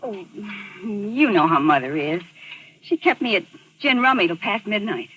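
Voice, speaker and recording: An actress playing an evasive, outwardly sweet wife with a hesitant, placating manner in a 1940s radio mystery. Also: female voice, medium pitch, sing-song, moderate pace, pushed and bright, breathy edge, heavily acted. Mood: casual, evasive. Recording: noisy old radio recording.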